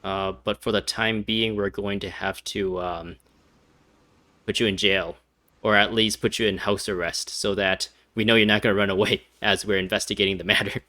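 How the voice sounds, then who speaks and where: A man's voice: lowish voice, little pitch movement, moderate pace, sharp tone, no echo. A young man doing casual game commentary, rambling and hesitant as he thinks aloud. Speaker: gaming video host.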